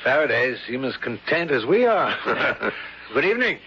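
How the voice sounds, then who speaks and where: Measured academic, forceful bright tone, old radio acting, dull faintly hissy recording. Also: male voice, medium pitch, sing-song, breathy edge, full character voice. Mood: sociable. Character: sociable, measured zoology professor.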